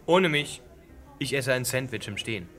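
An actor playing a brisk, bantering young medical student, blunt and wry, in a German radio play. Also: male voice, medium pitch, animated, fairly bright tone, lightly acted. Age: young adult.

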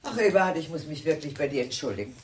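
An actress playing a scatterbrained, effusive older aunt in a German radio drama, halting and repeating herself with dramatic sighs. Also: female voice, sits low, very animated, husky, exaggerated voice. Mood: remorseful, eager.

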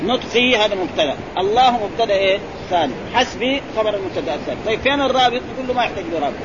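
A man with a lilt teaches grammar at a fast clip, his voice sitting high. He keeps firing little prompts at his students. The sound is muffled, with chatter and traffic noise around him. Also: animated. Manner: quizzing, prompting with repeated "eh?" and "ha?". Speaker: sheikh teaching Arabic grammar. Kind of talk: classroom explanation with questions to students.

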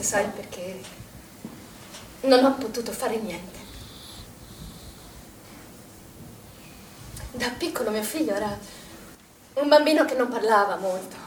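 An actress playing a grieving, troubled middle-aged mother in a stage drama, speaking in halting, emotional repeated phrases. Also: fairly high pitch, close to an everyday voice. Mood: anguished, halting. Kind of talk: naturalistic stage drama monologue. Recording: roomy, distant stage recording with noise.

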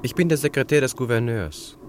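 An actor playing a reserved, self-assured young student secretary with formal, restrained speech in a radio drama. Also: male voice, mid-range voice, lively intonation, brisk, natural voice. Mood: resolute. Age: young adult. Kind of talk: German radio drama acting. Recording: noticeable background noise.

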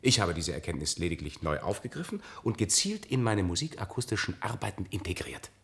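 Very deep-voiced, grandiose sound artist. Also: male voice, big pitch swings, brisk, creaky, fairly bright tone, heavily acted. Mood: confident, explaining. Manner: grandiose, ironic, talks in florid phrases. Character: self-assured male avant-garde sound artist and musician. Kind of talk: radio play dialogue.

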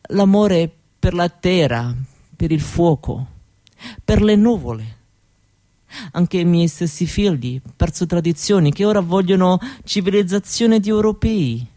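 A woman playing an old Roma grandmother in a radio monologue, gentle and wistful, speaking halting broken Italian with a Romani accent in short phrases with pauses. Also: on the low side, dry recording. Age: older.

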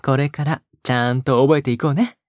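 A male actor playing a possessive young captor in an audio drama, coaxing and teasing in a soft, breathy voice as if talking to a pet. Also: medium pitch, steady tone, a little fast, dry recording, clean studio sound, natural voice. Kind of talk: intimate Japanese audio drama acting.

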